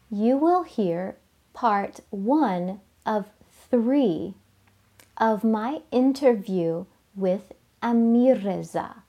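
A woman hosting an English learning podcast, speaking in a gentle, measured and encouraging way as she introduces and interviews a guest. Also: on the high side. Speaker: podcast host. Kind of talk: scripted intro and interview questions.

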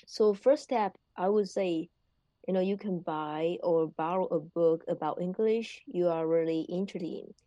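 A woman's voice: lowish voice, mellow, no room sound, rough recording. A young woman from Taiwan, a native Mandarin speaker with a Taiwanese Mandarin accent, talking hesitantly with many fillers as a guest learner. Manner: hesitant, with fillers like "like" and "you know".

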